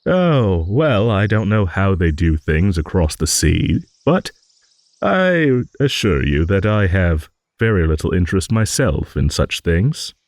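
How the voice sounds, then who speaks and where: A man playing a gentle, courteous old dragon who rambles hesitantly in an improvised fantasy audio roleplay. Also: low voice, sing-song, dry recording, exaggerated voice. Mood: bemused, modest. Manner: rambling, hesitant, genteel, with musing hums and pauses.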